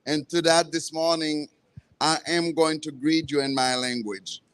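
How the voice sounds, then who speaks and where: Slow, clear-voiced man with a Haitian accent. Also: a little high, steady tone, a bit bright. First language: Haitian Creole. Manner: halting, measured, with self-corrections. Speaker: librarian, campaign participant. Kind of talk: prepared personal remarks at a press conference. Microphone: event microphone.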